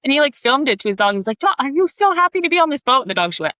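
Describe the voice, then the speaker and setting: A woman's voice: high-pitched, big pitch swings, very fast, sharp tone, dry recording. A young woman from Texas, co-hosting a podcast in casual chat, bubbly and full of "like" fillers. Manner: chatty, bubbly, lots of "like" fillers. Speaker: podcast co-host.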